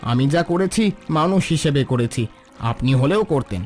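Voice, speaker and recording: A man's voice: on the high side, animated, moderate pace, darkish tone, close to an everyday voice. A man playing a humble, forgiving poor villager in a cartoon, speaking respectfully and consolingly. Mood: warm, grateful. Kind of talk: dramatic cartoon dialogue. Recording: music bed under the voice.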